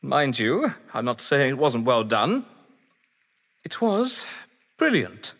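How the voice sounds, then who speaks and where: Male actor as excitable writer, audio drama, theatrical, indignant, very lively intonation, long reverb. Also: mid-range voice, takes it slowly, full character voice. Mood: admiring, jovial. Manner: theatrical, indignant, well-spoken. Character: proud, excitable English mystery writer, gamesman under suspicion.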